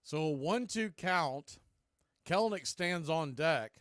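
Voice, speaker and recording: A man's voice: animated, takes it slowly, fairly bright tone, no echo. Dry, close-miked male baseball announcer. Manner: clipped short phrases between pitches. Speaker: play-by-play baseball announcer and stream host. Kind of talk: live radio-style play-by-play commentary.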